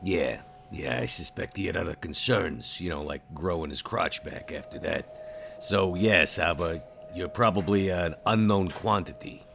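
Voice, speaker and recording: A man voicing a gruff, vengeful vampire in a tabletop roleplay game, with a folksy, menacing drawl and a gravelly voice. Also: sits low, measured pace, fairly bright tone, a little hiss or hum, full character voice. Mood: wry, guarded. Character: gruff vengeful male vampire named Max.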